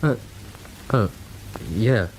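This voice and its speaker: An actor playing a soft-hearted, anxious English chip shop man in a radio drama, hesitant and easily rattled. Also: on the low side, lively intonation, extremely slow, a little hiss or hum, poor audio. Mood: harassed, uneasy. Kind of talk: British radio drama acting.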